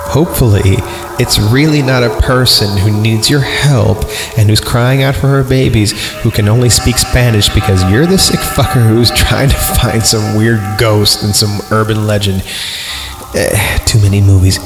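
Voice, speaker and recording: A man's voice: fairly low pitch, steady tone, moderate pace. A man reading a story aloud as a podcast host, irreverent and crude, breaking off into sarcastic comic asides. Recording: roomy echo with music behind. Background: music.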